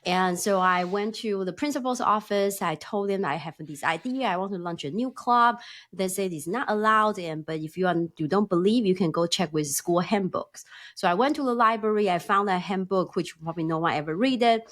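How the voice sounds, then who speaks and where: Female podcast guest, middle-aged, Taiwanese accent, mid-pitched voice, conversational storyteller who voices quoted dialogue. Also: brisk, a bit bright. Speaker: podcast guest, company founder. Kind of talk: conversational personal storytelling in an interview.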